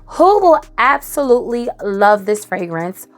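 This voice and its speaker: Hoarse, raspy woman reviewing perfume. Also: a little high, measured pace. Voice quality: raspy, dry, cracking. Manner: animated, emphatic, tosses in "okay?". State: hoarse after a cold. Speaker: fragrance reviewer. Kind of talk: casual chatty review to camera.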